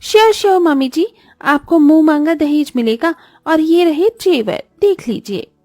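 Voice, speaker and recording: A woman's voice: high-pitched, animated, moderate pace, darkish tone, dry recording, studio quality, pitched above the performer's own voice. A woman voicing a polished, modern young girlfriend in a cartoon, breezily mixing English into her Hindi. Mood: eager, breezy.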